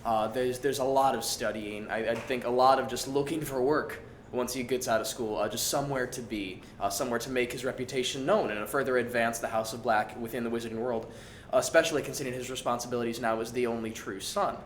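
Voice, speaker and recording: A man's voice: mid-range voice, steady tone, fast. Male, casual Q&A participant, jokey and a little hesitant, recorded in an echoey room with faint noise. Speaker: actor answering fan questions. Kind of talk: casual group Q&A chat. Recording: roomy echo, faint background noise.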